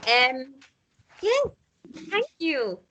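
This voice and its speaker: A woman's voice: sits high, big pitch swings, very slow, fairly bright tone, slight background noise. A woman in casual chat, speaking as the writer hosting a table read.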